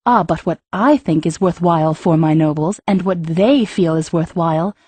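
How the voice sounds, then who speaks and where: A woman voicing a poised empress who speaks in a formal, measured and dryly ironic way, in a storybook reading. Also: fairly low pitch, dark tone, slightly rough, no echo. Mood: wry, regal. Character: regal, wry empress, poised and cool.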